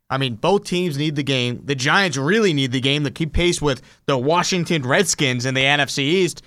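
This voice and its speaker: Higher-voiced American sports podcast host, dry sound. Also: male voice, a bit bright, clear, resonant tone, no room sound. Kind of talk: sports talk commentary.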